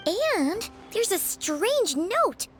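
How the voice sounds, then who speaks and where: A woman voicing a tiny, excitable floating female companion who fusses and chatters in a video game. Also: very high-pitched, extremely slow, slight background noise, full character voice. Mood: anxious. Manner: fussy, talks about herself in third person. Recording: game audio with faint music behind.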